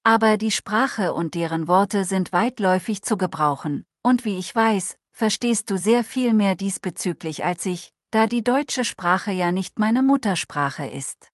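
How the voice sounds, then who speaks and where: A calm, formal advisor, read by a woman whose first language is not German, speaking in a measured and polite way. The pitch sits in an ordinary middle range. The sound is close and dry. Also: dry recording, lightly acted.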